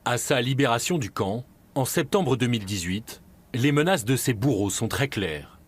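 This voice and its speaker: A man with a French accent from France narrates an investigative documentary in a measured, serious and dramatic scripted style. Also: medium pitch, animated, breathy edge. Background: background music.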